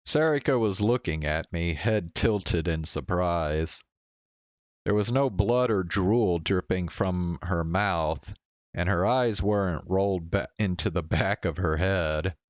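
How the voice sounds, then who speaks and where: A man streaming a game, reading visual novel narration aloud in a measured storytelling way. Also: low-pitched, dry recording, highs cut off. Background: game music.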